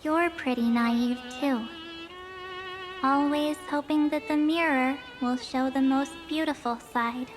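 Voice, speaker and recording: A woman playing a cheerful, sentimental young girl in a video game story, speaking softly and earnestly. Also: high-pitched, fairly even intonation, slow. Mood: gently chiding. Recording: music behind the voice.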